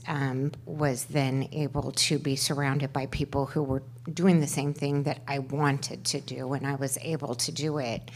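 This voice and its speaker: A middle-aged woman with a loud voice sharing her story on a microphone at a twelve-step meeting, halting, with many fillers and restarts. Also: a bass voice. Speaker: panel speaker at a twelve-step workshop. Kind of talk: personal sharing at a recovery meeting. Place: meeting room. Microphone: handheld microphone.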